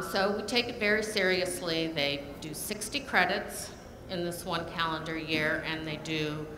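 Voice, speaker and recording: An older woman, a nursing program director, giving a measured panel talk with frequent pauses. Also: low voice. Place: lecture hall. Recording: echoey hall, audible room noise.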